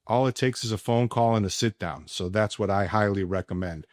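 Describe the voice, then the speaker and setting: A man hosting a casual sports podcast, speaking emphatically with deliberate pauses. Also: lowish voice, dry recording, clean studio sound. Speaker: podcast host. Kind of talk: casual sports podcast talk and personal ad read.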